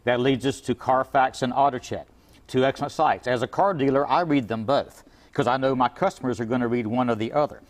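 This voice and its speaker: A man's voice: mid-range voice, a little fast. Male import car shop owner, guest on a TV chat, folksy and plain-spoken, repeats himself. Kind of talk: TV interview, giving advice.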